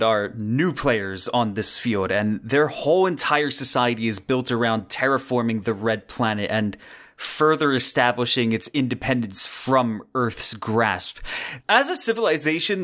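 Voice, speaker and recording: Male podcast host, mid-range voice. Earnest, measured explanatory narration, deliberate phrasing, slightly conversational. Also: medium pitch, fairly bright tone.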